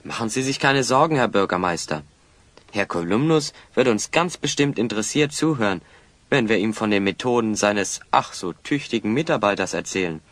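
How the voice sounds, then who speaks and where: A man's voice: fairly low pitch, lively intonation, moderate pace, fairly bright tone, no echo. A man playing a shrewd, confident hero sleuth in an audio drama, speaking in an assured, probing way. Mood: confronting, confident. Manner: assured, probing, clearly enunciated. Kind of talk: German children's audio drama acting.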